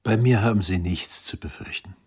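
An actor in a radio drama playing a self-assured eighteenth-century physician, probing and emphatic, with a husky voice. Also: male voice, on the low side, moderate pace, darkish tone. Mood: reassuring, instructing. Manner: insistent, questioning, emphatic.

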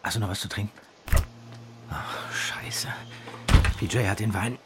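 A man's voice: fairly low pitch, fairly even intonation, unhurried, in a whisper, natural voice. An actor playing a blunt, easygoing young man in a German audio drama, with casual, colloquial speech. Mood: casual, admiring.

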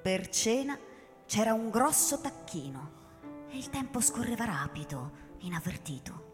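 Expressive woman narrator with lively pitch. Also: unhurried. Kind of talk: dramatic scripted storytelling for radio drama. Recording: reverberant, music underneath.

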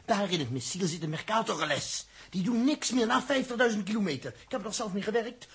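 An actor with a Netherlands Dutch accent playing an excitable, fretful spaceship engineer in a 1960s radio drama, with a husky voice. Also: male voice, sits high, fast talker, heavily acted. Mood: dejected, insistent. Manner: swings from muttering to agitated outbursts.

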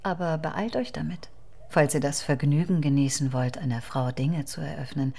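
A woman playing a shrewd, seductive brothel madam in a radio drama, speaking with pauses and soft teasing. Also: low-pitched, vocal fry. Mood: sly, inviting.

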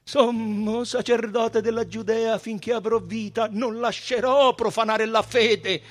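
Man acting a high-pitched, defiant high priest. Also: fairly even intonation. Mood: fervent, indignant. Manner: solemn, deliberate, dignified. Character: dignified, defiant, fervent high priest of Judea.

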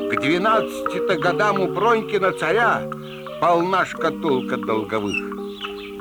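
Male storyteller, folksy comic tale, dialect turns of phrase, very expressive range, prominent music bed. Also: high-pitched. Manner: theatrical, rustic dialect phrasing. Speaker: narrator. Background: loud music.

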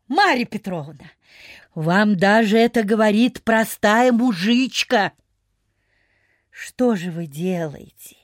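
A woman playing a callous, self-serving gentlewoman in a radio play, dramatic, pleading and insistent. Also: a little high, no echo, studio quality, exaggerated voice.

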